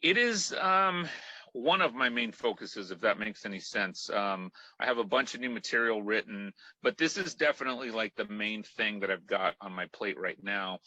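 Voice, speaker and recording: A man's voice: fairly low pitch, animated, normal speed, sharp tone, no echo, highs cut off. A man from Mexico, a Spanish speaker, chatting loosely as an interview guest with many fillers and restarts. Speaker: guest musician. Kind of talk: casual interview chat.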